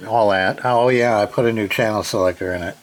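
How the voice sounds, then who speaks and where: A man's voice: on the low side, fairly quick. A man giving a casual, hands-on repair narration, rambling and muttering to himself in a folksy, plain-spoken way. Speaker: CB radio repair hobbyist. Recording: noisy, with clicks and radio sound.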